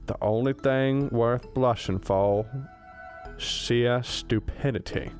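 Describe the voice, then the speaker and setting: A man's voice: mid-range voice, fairly even intonation, slow, darkish tone, soft background music, close to an everyday voice. Cranky old professor, acted by a man, folksy grumble. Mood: rueful. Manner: grumbling, folksy, self-deprecating. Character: cranky, rueful old male professor, plain-spoken. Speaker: student radio drama actor. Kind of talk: comedic radio drama acting.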